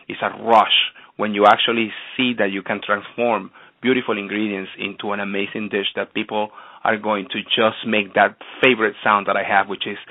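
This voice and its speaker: Man from Venezuela, low-voiced chef guest, calling in over a tinny dry phone line, earnest and eager. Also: no room sound. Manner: earnest, enthusiastic, repeats words as he restarts. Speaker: chef and restaurant owner, guest. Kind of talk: phone-in radio interview.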